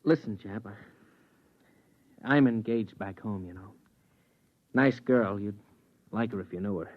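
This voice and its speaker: An actor playing an eager but nervous replacement crewman on a moon base, talking in a hesitant, halting way in a 1950s radio drama. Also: on the low side. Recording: tinny vintage radio broadcast.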